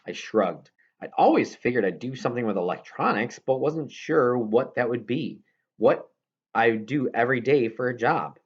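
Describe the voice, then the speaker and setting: A man's voice: medium pitch, animated, normal speed, husky, highs cut off. A man reading a children's novel aloud as scripted narration, now and then stumbling over a word. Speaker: audiobook reader.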